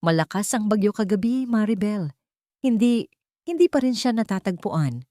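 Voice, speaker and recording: A woman voicing a worried, frightened older man in an audiobook story, speaking in halting, urgent, repeated phrases. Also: high voice, lively intonation, mellow, dry recording, clean studio sound, pitched above the performer's own voice. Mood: fearful, halting. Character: worried, frightened old fisherman family friend, breathless.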